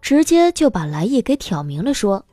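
A woman's voice: mid-range voice, very animated, moderate pace, dark tone, dry recording, clean studio sound. A woman narrating a scripted audiobook story as the narrator of a drama.

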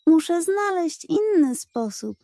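A woman voicing a small, brave, eager boy puppy in a children's audiobook, excitable and wide-eyed. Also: very high voice, takes it slowly, no room sound, pitched above the performer's own voice, heavily acted. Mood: determined.

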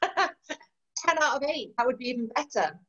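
A woman's voice: sits high, big pitch swings, moderate pace, bright, forceful tone. A woman in her forties from East Yorkshire with a mixed Yorkshire and Irish accent, an author chatting enthusiastically and laughing easily on a video call from a room of bookshelves. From East Yorkshire, England. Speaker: author being interviewed. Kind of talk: casual chat. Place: home room lined with bookshelves. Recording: dull lo-fi video call audio.

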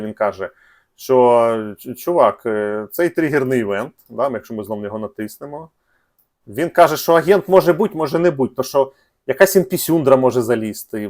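A man's voice: medium pitch, a little mellow. Informal man teaching coding. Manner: informal, slangy, thinking aloud with hesitations. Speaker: programming tutorial host. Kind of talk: casual screen-share coding tutorial.

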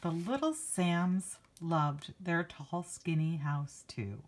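A woman's voice: on the low side, slow pace. A woman reading a picture book aloud to children like a teacher, expressively stretching key words and adding casual asides. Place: indoors, book held before a handheld camera.

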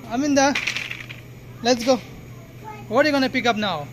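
A man's voice: very high voice, normal speed. A man in his thirties narrating a casual vlog as he walks through a shopping mall, pointing things out to viewers with a phone camera. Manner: chatty tour-guide tone, points things out to viewers. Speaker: vlogger. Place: large indoor shopping mall. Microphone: handheld phone camera. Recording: noisy mall background.

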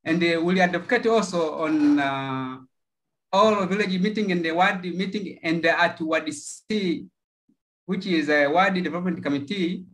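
A man from Tanzania, giving a prepared project pitch over a video call. He speaks haltingly, with many fillers and repeated words. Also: a bit bright, poor audio. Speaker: project pitcher from a local NGO.

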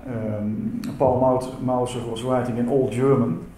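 A man's voice: medium pitch, fairly even intonation, unhurried, mellow. A man whose first language is Dutch, giving a conference talk in a hesitant way, with many fillers and repeated words. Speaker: symposium speaker. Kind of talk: conference presentation. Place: lecture hall. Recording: echoey hall with faint noise.

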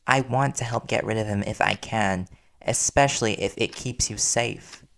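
Guy acting as worried reporter boyfriend in an audio rp, lowish gravelly voice, earnest n soothing, hesitant pauses. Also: fairly bright tone. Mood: urgent pleading. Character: worried, devoted young male reporter boyfriend, gently pleading. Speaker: voice actor in audio roleplay.

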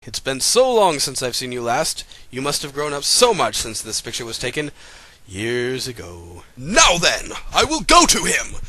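A man's voice: medium pitch, huge pitch swings, moderate pace, pushed and bright, dry recording, heavily acted. A man voicing a pompous, flamboyant, lecherous teacher in a comedic anime parody, theatrical and self-important. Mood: grandly excited.

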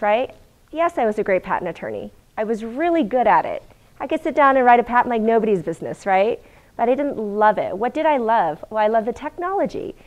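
A middle-aged woman lecturing in a university classroom, her voice loud and pitched a little high. She keeps it conversational, asking the audience questions, and she is picked up by a room mic from a distance. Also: dull audio. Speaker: guest lecturer.

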